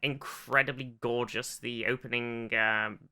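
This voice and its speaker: A man's voice: medium pitch, little pitch movement, unhurried, bright, forceful tone, clear, resonant tone. A man co-hosting a podcast in casual, gushing fan chat, swearing and repeating words as he searches for phrasing. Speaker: podcast host.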